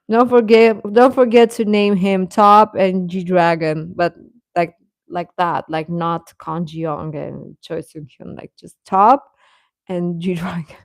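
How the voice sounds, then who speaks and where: Female livestream host: casual, playful, unhurried delivery, mid pitch, dry sound. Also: steady tone, dry recording. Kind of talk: casual chat with live viewers.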